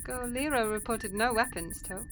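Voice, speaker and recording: A woman's voice: a little high, moderate pace, close to an everyday voice. A woman playing a loyal alien security officer in an audio drama, framing her sentences with clipped, odd tic words. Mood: dutiful, concerned. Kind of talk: science fiction audio drama acting. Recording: noticeable background noise.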